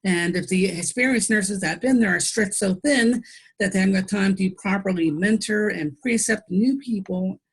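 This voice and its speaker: A woman's voice: medium pitch, normal speed, husky, low-quality recording. A woman in a conversational interview, a nurse explaining her views in an emphatic, pointed way.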